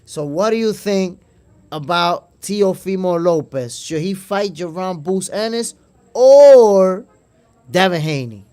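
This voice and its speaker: A man's voice: high-pitched, lively intonation, slow pace, mellow, clean tone. A Dominican man with a New York accent, hosting a casual boxing livestream, reading chat aloud and calling viewers "my brother". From Dominican Republic. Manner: chatty, calls viewers "my brother", repeats words. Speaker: boxing podcast host reading live chat.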